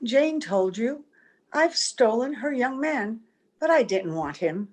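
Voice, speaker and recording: Woman playing a wistful, high-voiced young woman. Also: lively intonation, takes it slowly, darkish tone. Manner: tender, earnest. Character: gentle, wistful, strange ageless young woman. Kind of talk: old-time radio drama acting.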